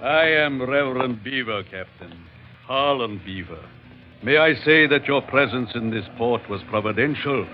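A man's voice: medium pitch, expressive, unhurried, faint music behind, natural voice. Sanctimonious old-radio clergyman, played by a man, muffled recording. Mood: pious, unctuous. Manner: sanctimonious, flowery, formal speech. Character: pious, unctuous clergyman missionary, man. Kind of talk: 1940s radio drama acting.

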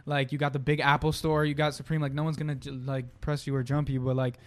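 A man's voice: medium pitch, flat delivery, fast. A young man, a podcast guest whose first language is Italian, talking casually in a laid-back, slangy way with lots of fillers and restarts. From Brooklyn, New York. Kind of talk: casual chat.

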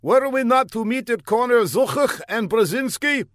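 A man's voice: very high voice, lively intonation, moderate pace, fairly bright tone, dry recording, studio quality, pitched above the performer's own voice. A man voicing a flustered, fawning young bearded courier, speaking stilted, overly formal broken English in a raised voice. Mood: earnest.

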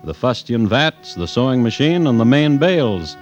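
An actor playing a wry, folksy storyteller who narrates a radio tale in deliberate, suspenseful phrases. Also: male voice, mid-range voice, big pitch swings, soft background music, close to an everyday voice. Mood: wry, grave.

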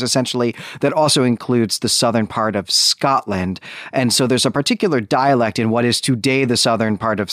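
Male podcast co-host for literary discussion. Medium pitch, precise explainer, dry close sound. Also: dry recording.

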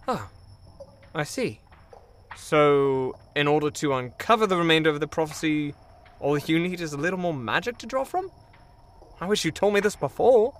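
Man playing a high-voiced, bumbling warlock. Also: animated, moderate pace, slight background noise, natural voice. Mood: irritated. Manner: hesitant, stammering, nervous. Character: bumbling, pathetic male warlock, insecure and self-important. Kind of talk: comic fantasy audio drama.

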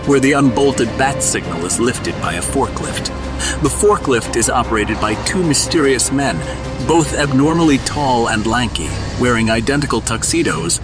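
A man's voice: medium pitch, expressive, moderate pace. A man narrating a scripted audio drama in a dramatic, descriptive storytelling manner. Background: music.

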